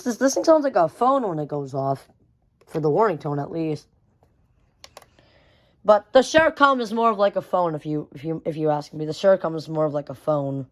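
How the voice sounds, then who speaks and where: A young hobbyist talks through a collection in an informal, unscripted vlog style. The pitch sits in a middle range, and the wording is slangy, with repeated phrases and occasional mild swearing. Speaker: vlogger showing a weather radio collection. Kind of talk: casual hobby show-and-tell, unscripted.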